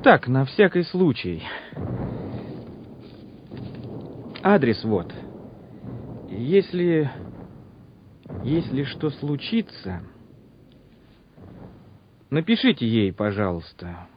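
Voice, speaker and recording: An actor playing a quiet young soldier who talks haltingly and puts on bravado before battle, in a Soviet radio drama. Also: male voice, mid-range voice, big pitch swings. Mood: wistful pleading. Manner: halting, repeats himself. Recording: muffled old radio drama recording, noisy.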